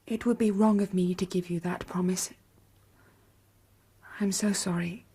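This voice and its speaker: An actress playing a gentle, principled young woman in a radio drama, speaking softly, with piano music behind. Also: fairly low pitch, fairly even intonation, unhurried, a little hiss or hum. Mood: regretful. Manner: soft, gentle, apologetic.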